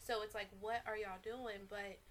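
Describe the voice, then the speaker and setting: A woman in her thirties, a guest in a casual panel chat, talking in an earnest, steady way. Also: mid-range voice, moderate pace, a little hiss or hum. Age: 30s.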